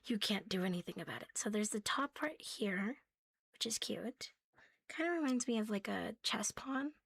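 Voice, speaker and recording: A woman with a soft, airy voice rambles through an ASMR show-and-tell. She often drops toward a whisper and keeps a cute, playful catgirl manner as she chats to viewers close to the mic. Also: mid-range voice, lively intonation, normal speed, vocal fry, dry recording. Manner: playful, cutesy catgirl persona, talking to viewers. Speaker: ASMR host.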